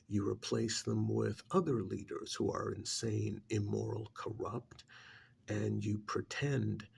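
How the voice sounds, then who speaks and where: A low, husky ancient creature, acted by a man, speaks with breathy menace and open contempt for humans. The delivery is slow and deliberate, heavy with gravel, in the intimate style of an ASMR roleplay. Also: exaggerated voice. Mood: scornful, exasperated. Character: ancient disdainful male creature possessing a dead man's body.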